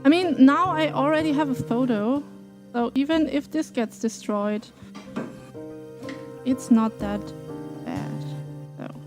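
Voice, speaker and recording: A woman's voice: sits high, takes it slowly, pauses often, over music. A German woman streaming live from her home kitchen while baking, chatting casually with viewers and laughing often. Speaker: cooking streamer.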